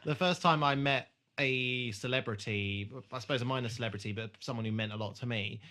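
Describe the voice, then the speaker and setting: Male podcast host, very bright and punchy, loose jokey conversational delivery with swearing. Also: medium pitch, brisk. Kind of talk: casual chat.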